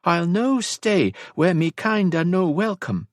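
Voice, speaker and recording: A man's voice: high-pitched, big pitch swings, fairly quick, a little mellow, slightly rough, dry recording, clean studio sound, voice pushed up, full character voice. A man voicing a spirited, curious, stubborn young English noblewoman in an audiobook. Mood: teasing. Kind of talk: audiobook character dialogue.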